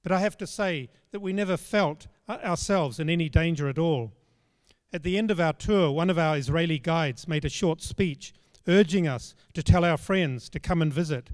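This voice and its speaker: An older man preaching a sermon on a church stage into a handheld microphone, in a measured storytelling manner. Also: a little high, a little mellow, dry recording, long reverb tail. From Australia. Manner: measured, storytelling, plain spoken.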